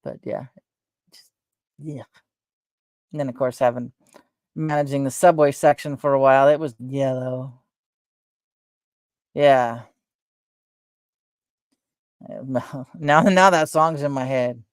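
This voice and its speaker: A middle-aged woman talks in a soft, gentle voice, hosting a loose, meandering chat. She drifts from thought to thought, trailing off and correcting herself with plenty of fillers. The sound is dry and close, with no room around it. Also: a bass voice, fairly even intonation, slow, pauses often, dry recording. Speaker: livestream host. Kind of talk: rambling casual chat.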